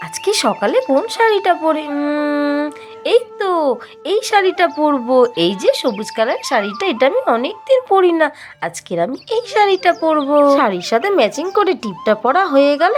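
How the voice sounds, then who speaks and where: Woman voicing a high-pitched, chattering village housewife doll. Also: very animated. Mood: busy, homely. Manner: talks to herself in homely, hurried chores chatter. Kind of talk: children's doll-play cartoon voicing.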